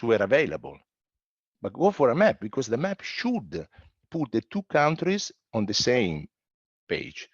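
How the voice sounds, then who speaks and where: Low-voiced man presenting, slightly muffled sound. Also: no echo. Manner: emphatic, repeats phrases, voices imagined objections. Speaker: panel speaker on international tax. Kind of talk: conference presentation.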